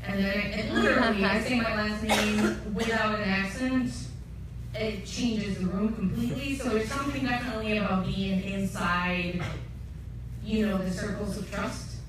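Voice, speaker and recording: A woman's voice: medium pitch, fairly even intonation, moderate pace. A young woman from Costa Rica answering as a panelist, candid and conversational with many fillers. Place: conference room panel, large hall. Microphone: table mic, recorded from the audience. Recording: echoey hall from far off, noisy.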